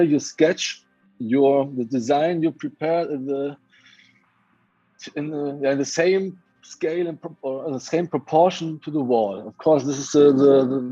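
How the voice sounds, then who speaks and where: Halting Hungarian-accented man, mellow tone. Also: a little high, slightly rough, no room sound, lo-fi sound. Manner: halting, searching for words, many restarts. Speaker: guest muralist. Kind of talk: casual interview chat. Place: home, remote video call.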